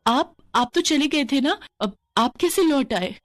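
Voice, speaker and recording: A woman's voice: sits high, expressive, a little slow, a little hiss or hum, voice pushed up. A woman voicing a cruel village stepmother in a story, with a sneering, taunting manner. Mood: alarmed, pleading.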